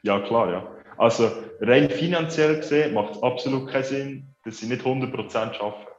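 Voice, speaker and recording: A man's voice: medium pitch, fairly quick. Reflective Swiss German-accented man. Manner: reflective, hesitant, thinking aloud. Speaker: guest. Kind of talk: casual interview chat. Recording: roomy, dull lo-fi, faint music.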